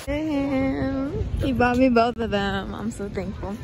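A woman's voice: fairly high pitch, fairly even intonation, slow pace, noisy background. A young woman vlogging casually, chatting upbeat with lots of filler words, filmed on a phone held at arm's length. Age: young adult. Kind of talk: casual vlog chat. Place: outdoor flea market, later indoors at home.

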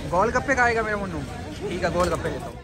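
Male vlogger, casual commentary to camera, slightly high voice, busy crowd behind. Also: lively intonation, rapid-fire. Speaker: vlogger, father with his child. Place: outdoors on a busy hill-town street. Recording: street vlog with crowd noise and music.

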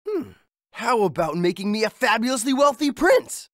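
An actor playing a good-hearted young street adventurer, the earnest hero of an animated game. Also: male voice, extremely high pitch, moderate pace, dry recording, studio quality, heavily acted. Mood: easygoing.

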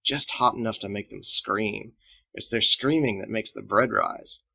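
A man's voice: fairly low pitch, measured pace, vocal fry, pushed and bright, muffled recording, exaggerated voice. A man voicing a playful, theatrical cook who teases slyly and pretends at wizardry in a storybook reading. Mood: sly, mischievous. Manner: teasing, sly, hammy.

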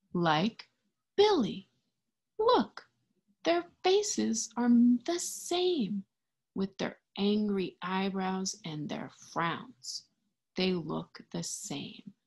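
A young woman reading a picture book aloud to small children, expressively and deliberately, pausing to explain and ask simple questions. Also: mid-range voice, breathy edge. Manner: expressive, deliberate, child-directed teacher talk. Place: indoors in front of a green screen.

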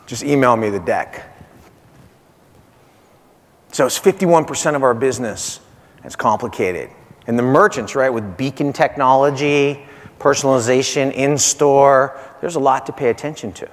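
A man's voice: mid-range voice, normal speed. A man in his forties giving a conference keynote in punchy short phrases, repeating key points and checking in with "right?". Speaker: keynote speaker, marketing executive. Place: large hotel conference stage, indoors. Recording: reverberant conference hall.

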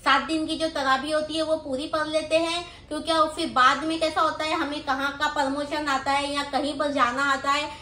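A woman's voice: high-pitched, flat delivery, normal speed, hardly any pauses, sharp tone. A middle-aged woman with a Mumbai accent chatting casually as a vlogger, explaining things and checking in with little questions. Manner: explanatory, tags phrases with questions. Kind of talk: casual grocery haul chat. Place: small room indoors, seated on floor. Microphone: phone held by someone nearby. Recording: roomy echo, faint background noise.

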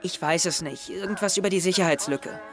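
Audio drama lead: defensive, uneasy schoolboy, hesitant delivery, light music bed. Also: male voice, high voice, a bit bright. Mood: cautious. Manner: hesitant, halting. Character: Henry, worried, defensive teenage schoolboy. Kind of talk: scripted audio drama dialogue. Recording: music under the voice. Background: background music.

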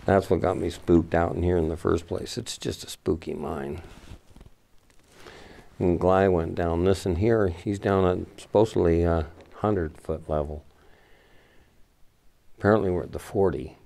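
A man's voice: low voice, steady tone, unhurried, creaky, pauses often, mellow. An older man, the camera operator, chatting casually and wryly inside an abandoned mine tunnel. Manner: wry, self-deprecating, joking. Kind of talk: casual behind-the-scenes chat.

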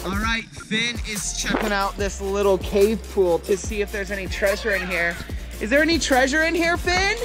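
A man's voice: high voice, lively intonation, moderate pace, music in the background. A young man filming a family vlog at an outdoor resort pool, playfully encouraging a small child on a waterproof action camera. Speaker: family vlogger. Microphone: handheld waterproof action camera.

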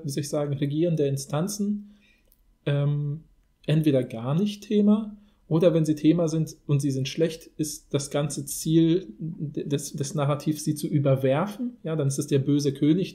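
Male podcast host, very soft dark tone, slightly high register, reflective and winding in conversational analysis. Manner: thinking aloud in long, winding sentences. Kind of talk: casual analytical podcast discussion.

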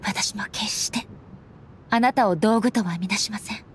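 A woman playing a stern, solemn immortal warrior goddess in a game, speaking formally and with measured deliberation. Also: medium pitch, a little hiss or hum, close to an everyday voice. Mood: resolute. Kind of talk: dramatic game voice acting. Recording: background music under the voice.

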